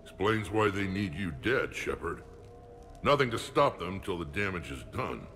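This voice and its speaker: An actor playing a confident, sardonic soldier with blunt, self-assured delivery in a video game. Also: male voice, low-pitched, big pitch swings, normal speed, bright, forceful tone, soft background music, exaggerated voice. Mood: blunt, serious.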